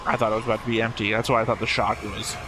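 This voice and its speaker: A man's voice: on the low side, level tone, racing, over music, low-quality recording. A man commenting casually on a show as a reaction host, in a wry, joking way.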